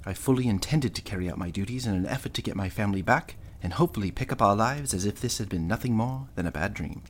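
An actor playing an anxious servant reading out his secret confession in a radio mystery, in a grave, measured way. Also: lowish voice, lightly acted. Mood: grave, resolute. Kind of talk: radio mystery drama, narrated written confession.

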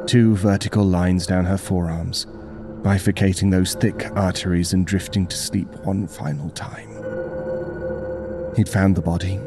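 Guy narrating a horror story, kinda deep, measured and dramatic, music going behind him. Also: steady tone. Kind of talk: dramatic scripted first-person narration.

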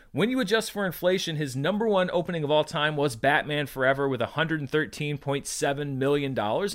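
Male show host, slightly high voice, highly varied intonation, enthusiastic and conversational solo commentary with emphatic stresses. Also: fairly bright tone. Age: 30s to 40s. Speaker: host of a box office recap show.

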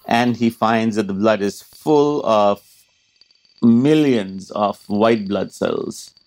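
A man's voice: on the low side, slow, darkish tone, rough recording. A man speaking as an expert guest, explaining and telling a story in an interview. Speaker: professor of medicine, expert guest.

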